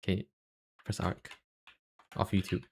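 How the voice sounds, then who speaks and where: A young man with a Singaporean accent chatting casually on a livestream, halting and self-deprecating, speaking into a cheap USB microphone. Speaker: YouTuber and live streamer.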